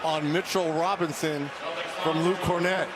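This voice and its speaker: Male color commentator, fairly high voice, conversational analysis, loud game noise. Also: steady tone, a little slow. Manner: explanatory, conversational. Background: basketball game noise.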